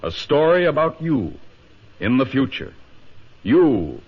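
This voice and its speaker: Male American announcer, dramatic old-time radio style, very lively pitch, mid register, muffled period recording. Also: takes it slowly, slightly rough. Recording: dull 1950 radio broadcast recording.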